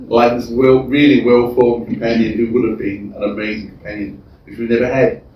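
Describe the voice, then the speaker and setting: Fast-talking man in an echoey room. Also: mid-range voice, little pitch movement. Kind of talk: eager informal fan commentary. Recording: dull, echoey room, distant mic, faint noise.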